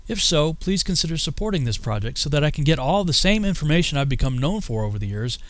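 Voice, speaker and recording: A man's voice: a little high, sing-song, quick pace, no room sound. Man narrating, dry close sound. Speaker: filmmaker and YouTuber narrating his own video. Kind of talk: scripted personal narration with a fundraising appeal.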